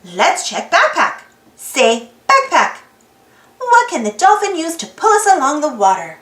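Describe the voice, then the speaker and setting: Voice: sits high, wildly swooping pitch, measured pace, pushed and bright, roomy sound. A storybook reader with an exclaiming manner, reading aloud for children and prompting young listeners with questions. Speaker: storybook reader and channel host.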